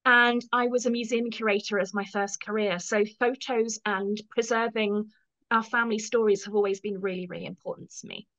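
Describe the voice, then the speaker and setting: A woman's voice: mid-range voice, steady tone, brisk, fairly bright tone. English woman, fifties, English accent, chatty interview guest, friendly, lots of ums. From England. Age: 50s. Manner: friendly, chatty, with frequent ums and self-deprecating asides. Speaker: guest, professional photo organizer. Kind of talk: casual interview chat.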